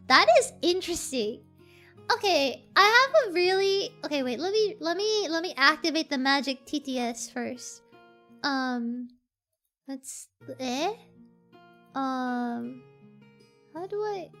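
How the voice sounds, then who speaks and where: A woman streamer with a soft voice, chatting casually and reading viewer messages, giggling often and tripping over repeated words. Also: high-pitched.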